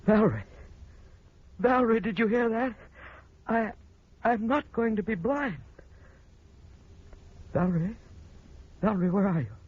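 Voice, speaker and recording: An actor playing a needy, anxious older husband, a blinded patient pleading haltingly in an old radio drama. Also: male voice, sits high, husky. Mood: excited, pleading. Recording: muffled, tinny old radio recording.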